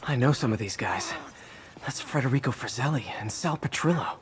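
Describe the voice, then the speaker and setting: An actor playing a boyish, good-humoured young superhero who quips through video game dialogue. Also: male voice, medium pitch, lively intonation, normal speed, lightly acted. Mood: focused, observant.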